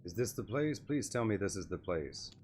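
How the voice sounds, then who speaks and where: A man's voice: fairly low pitch, very animated, normal speed, a little mellow, slight background noise. A man giving casual live commentary as he plays a game on stream. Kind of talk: casual live gameplay commentary, reading dialogue aloud. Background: faint music.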